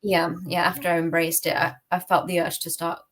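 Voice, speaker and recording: A woman with a British accent and a slightly low voice shares a personal account in a relaxed interview. She is candid, often hesitating and rewording her sentences as she talks. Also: a little fast, a little hiss or hum. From United Kingdom. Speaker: podcast guest. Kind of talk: personal testimony in casual interview.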